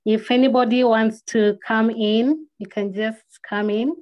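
A woman's voice: fairly high pitch, steady tone, a little slow, muffled recording. A woman from western Kenya with a Kenyan accent, hosting an online discussion. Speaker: host, moderator. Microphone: video call.

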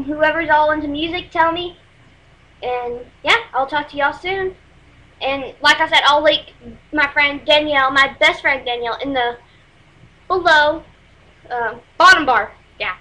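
A woman's voice: sits high, bright, forceful tone, low-quality recording. A teenage girl from Texas with a Texas accent, rambling and apologetic through a casual webcam music review at home. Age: teens. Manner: rambling, apologetic, many fillers. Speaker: music vlogger. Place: small room at home, indoors. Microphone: webcam built-in mic.

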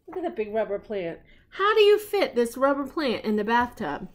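A woman's voice: fairly high pitch, big pitch swings, moderate pace. A middle-aged woman reading a children's story aloud expressively, with chatty asides to young listeners. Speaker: grandmother reading stories on her own show. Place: living room at home.

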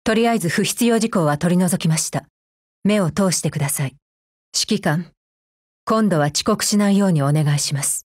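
Woman acting a husky, cold female android soldier. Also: on the low side, moderate pace, no room sound, studio quality, close to an everyday voice. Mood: businesslike, composed. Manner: formal, clipped, stern and unemotional. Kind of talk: scripted mobile game character voice lines.

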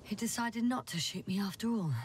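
A woman's voice: medium pitch, a little fast, far from the mic, slight background noise, close to an everyday voice. An actress playing a precise, strained woman scientist on a planetary expedition in a dramatic video game. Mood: bitter, determined.

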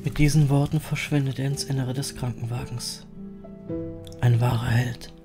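A man's voice: mid-range voice, steady tone, moderate pace, close to an everyday voice. Man as a weary, grim ferryman narrator over music. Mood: brooding, resigned. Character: weary, grim male reaper ferryman narrating his own story. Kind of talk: first-person audio drama narration.

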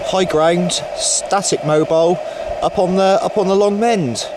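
A man giving a casual, unscripted video commentary as a radio operator, pausing often mid-sentence. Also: high-pitched, fairly even intonation. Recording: loud outdoor ambience and radio noise.

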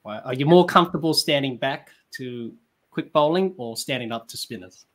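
A young man with a medium-pitched voice hosts a podcast interview. He is agreeable and casual, asking questions from a room at home over a video call. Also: normal speed. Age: young adult.

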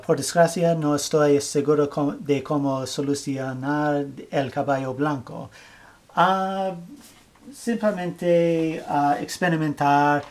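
Halting man with a learner's Spanish. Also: fairly high pitch. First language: not Spanish. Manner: hesitant, many fillers and restarts, searching for words. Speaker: flag-craft tutorial maker. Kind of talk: halting how-to narration.

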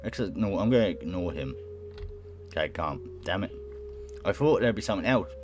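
A man's voice: low voice, animated, fairly quick, a little hiss or hum. A young man reading game text aloud and reacting casually, as in a let's play. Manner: stumbles over words while reading. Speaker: gaming video host. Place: small room at home, indoors. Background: game music.

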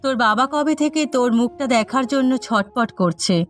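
A woman's voice: a little high, moderate pace, soft background music, natural voice. A woman playing a caring, plain-spoken middle-aged village mother and wife in a cartoon moral story.

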